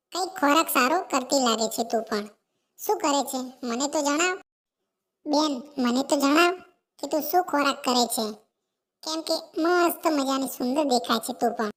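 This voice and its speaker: A woman voicing a cheerful, chatty female sparrow in an animated moral story, gushing and repeating her exclamations. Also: high-pitched, normal speed. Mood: admiring.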